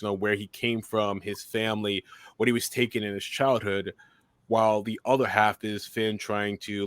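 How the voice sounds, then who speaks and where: Man, monotone voice, lowish pitch, dry close recording, interview guest answering at length. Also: no echo. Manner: monotone, steady delivery. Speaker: guest filmmaker and commentator.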